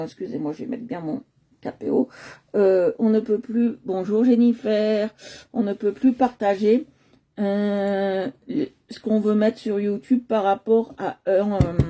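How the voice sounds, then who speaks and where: A woman's voice: mid-range voice, warm tone. A woman from Nice hosting a live coloring stream, chatting casually with many hesitations and fillers, talking into a computer at home. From Nice, France.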